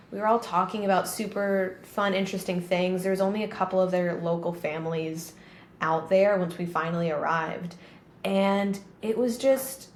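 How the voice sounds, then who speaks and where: A woman in her thirties, co-hosting a podcast, telling stories in a chatty, casual way with many fillers. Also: mid-range voice. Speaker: podcast co-host. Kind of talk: casual conversation. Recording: roomy with faint background noise.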